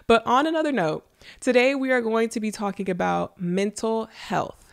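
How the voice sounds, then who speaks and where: A woman's voice: a little high, moderate pace, gravelly. A young American woman with a congested, nasal voice, chatting casually and directly to listeners as a solo podcast host, close on a studio mic. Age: late 20s to early 30s. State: congested with a runny nose. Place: small indoor room with shelving. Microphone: close studio podcast microphone with headphones.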